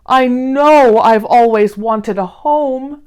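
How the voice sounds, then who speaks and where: A woman voicing a gentle, lonely toy bear in a children's storybook. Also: on the high side, slow pace, clear, resonant tone. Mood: wistful, sweet.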